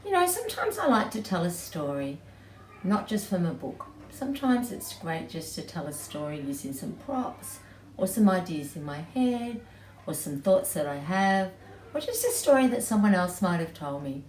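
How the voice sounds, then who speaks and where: A middle-aged woman, an early childhood educator, telling a story to young children in an animated storytelling style. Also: fairly low pitch, normal speed. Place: small indoor playroom with bookshelves, seated on floor. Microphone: camera several feet away. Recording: distant mic, roomy echo, background noise.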